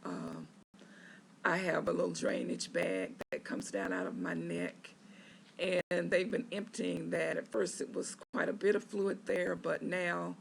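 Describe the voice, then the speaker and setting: A patient recording a casual video diary from a hospital bed, hoarse and raspy after neck surgery, talking in a slow, halting way with many filler pauses. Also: medium pitch, animated, a little hiss or hum.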